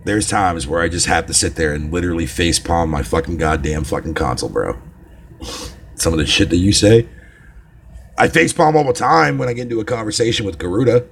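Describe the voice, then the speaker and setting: A man's voice: deep voice, expressive, a little fast, creaky, a little hiss or hum. A man with a Canadian accent chatting casually on a live stream, swearing a lot and laughing often. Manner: profane, rambling, laughs often.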